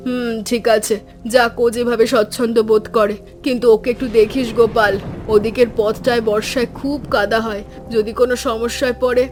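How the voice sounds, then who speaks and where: A caring older village aunt played by a woman speaks in a fairly high, tender voice, with music playing underneath. Her delivery is measured and homely, like an affectionate elder in a cartoon tale who frets over her family. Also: level tone, a little mellow, lightly acted. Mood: concerned. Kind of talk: animated story dialogue.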